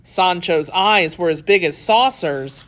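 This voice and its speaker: A woman narrating a children's story from a script, telling it in an expressive, deliberate way. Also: lowish voice.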